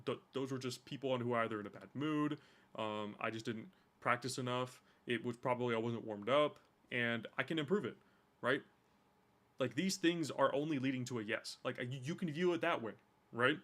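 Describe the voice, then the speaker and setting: A man's voice: medium pitch, a bit bright. A man casually reacting to a course video, thinking aloud with loose swearing and frequent repeated words, and he says he has a stutter.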